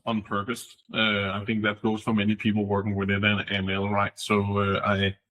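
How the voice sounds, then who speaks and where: Middle aged guy, pretty low voice, kinda pauses to find words. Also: completely flat delivery, fairly bright tone, low-quality recording. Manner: thoughtful, searching for words. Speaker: guest, fintech executive. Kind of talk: podcast interview.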